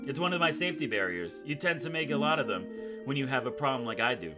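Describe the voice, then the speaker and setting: A man's voice: medium pitch, expressive, fast talker. Man reading a game aloud over music. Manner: jokey asides, dramatic storytelling read. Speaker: gaming video host. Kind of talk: reading visual novel aloud with casual joking commentary. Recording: music playing behind the voice.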